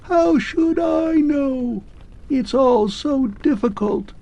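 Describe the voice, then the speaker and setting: A man voicing a melancholy clockwork man who speaks in a shrill, faint, pleading way. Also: a little slow, creaky, mellow, lo-fi sound. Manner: pleading, wistful, grows faint and distant.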